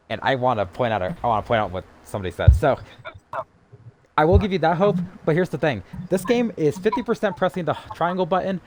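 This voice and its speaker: Animated man commentating on a game stream. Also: mid-range voice, a little hiss or hum, low-quality recording. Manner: chatty, opinionated, enthusiastic about games. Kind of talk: casual live stream commentary. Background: faint game music.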